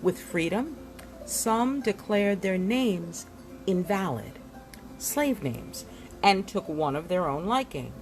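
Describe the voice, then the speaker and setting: Expressive, unhurried woman reading aloud. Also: fairly low pitch, soft background music, poor audio. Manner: dramatic, deliberate delivery with emphatic pauses. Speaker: book reader. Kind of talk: expressive read-aloud of a nonfiction book.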